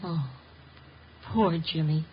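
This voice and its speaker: A woman acting a gentle, earnest young woman in a 1950s radio mystery, on a muffled old recording. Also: low-pitched, slow pace, a little hiss or hum. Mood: sorrowful. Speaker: radio actress in a detective series.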